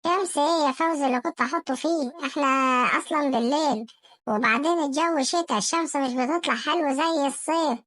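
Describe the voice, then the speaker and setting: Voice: high voice, fast talker, a bit bright. A performer voicing a casual, defensive cartoon housewife with an Egyptian accent, answering back nonchalantly in a comedy sketch. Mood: placating. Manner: nonchalant, back-talking, placating.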